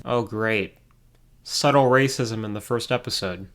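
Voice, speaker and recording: A man's voice: fairly low pitch, unhurried, crowd noise. A man reading scripted commentary as a video reviewer, with a wry, sarcastic manner. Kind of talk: scripted commentary over show clips.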